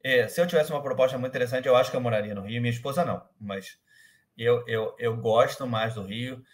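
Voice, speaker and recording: A man in his thirties speaks with a carioca accent worn down by years in São Paulo. He explains things in a loose, hesitant way, stopping to restart his sentences and filling pauses. The sound comes from a webcam on a video call at home. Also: mid-range voice. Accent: carioca Brazilian Portuguese, softened by years in São Paulo. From Rio de Janeiro, Brazil. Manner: hesitant, many fillers and restarts. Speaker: guest, company manager. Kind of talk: casual interview conversation. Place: room at home, indoors. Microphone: webcam or laptop mic on a video call.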